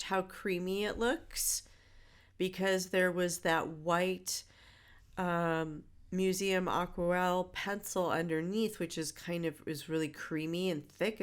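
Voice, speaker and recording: A woman's voice: lowish voice, clear, resonant tone. Stuffy-voiced woman teaching art. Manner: chatty, with many fillers and self-corrections. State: stuffy from a head cold. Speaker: art instructor. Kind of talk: relaxed drawing tutorial voice-over.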